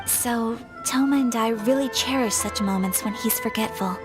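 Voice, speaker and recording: Video game noble sister, female actor: young, refined, gentle, slightly high, music behind. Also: normal speed, lightly acted. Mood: fond. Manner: polite, graceful. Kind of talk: video game character dialogue.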